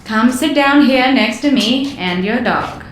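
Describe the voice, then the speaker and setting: An actress playing a plucky, impatient young woman adventurer in a 1930s radio mystery, brisk and bossy. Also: fairly high pitch, echoey room, slight background noise, close to an everyday voice. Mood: steadying, matter-of-fact. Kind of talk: 1930s radio drama acting.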